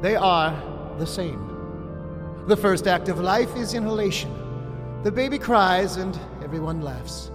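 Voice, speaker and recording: An actor playing a solemn cult archpriest in an audio drama, delivering a mystical eulogy in a grandiose, incantatory manner. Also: male voice, sits high, expressive, measured pace. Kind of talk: ceremonial audio drama oration. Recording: long hall reverb, music underneath. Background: music.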